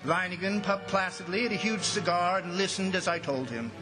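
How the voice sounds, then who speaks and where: A man's voice: high-pitched, fairly quick, natural voice. A man playing an earnest district commissioner in a radio drama, speaking with emphatic, theatrical gravity. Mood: grave, brooding. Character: earnest, alarmed male district commissioner, grave storyteller. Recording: radio drama with loud music underneath.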